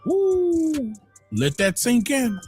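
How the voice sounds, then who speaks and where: A man hosting a livestream, giving emphatic opinionated commentary that swings from loud exclamations to low muttering. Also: huge pitch swings, drawn-out words, breathy edge, soft background music. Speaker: livestream host.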